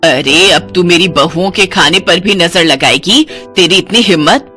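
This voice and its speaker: A woman voicing a haughty, domineering older mother-in-law who scolds with sharp questions in a moral story, with music behind her. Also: fairly low pitch, a little slow. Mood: scolding, angry.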